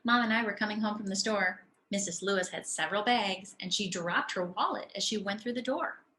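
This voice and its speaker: Female preschool teacher, storybook read-aloud, expressive and deliberate phrasing, bright clear-cut tone. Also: medium pitch.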